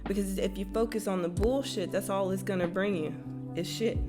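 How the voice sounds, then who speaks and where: Young woman, light Texas twang, blunt slangy tarot reader talking over clear guitar music. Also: medium pitch, steady tone, brisk. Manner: blunt, conversational, swears freely, with slang. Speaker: tarot reader and spiritual channel host. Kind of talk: casual spoken tarot reading. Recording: guitar music playing behind.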